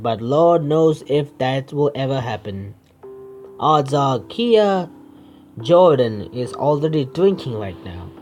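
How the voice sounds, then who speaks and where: A man reading game text aloud as a streamer, halting and stumbling, with casual asides. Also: fairly high pitch, warm tone. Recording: music under the voice.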